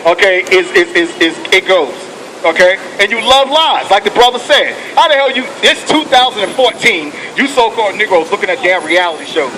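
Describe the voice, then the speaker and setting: Fiery street preacher, high voice, loud traffic. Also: male voice. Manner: fiery, profane, calls and responses with "okay" and "come on". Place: outdoors on a city street. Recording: loud street noise outdoors.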